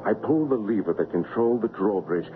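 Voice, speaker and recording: Man acting low-voiced heroic swordsman, thin radio sound. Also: lively intonation, fast talker, natural voice. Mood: suspenseful narration. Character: brave resolute swordsman hero recounting his adventure. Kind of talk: radio drama acting with first-person narration. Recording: thin narrow-band old radio recording.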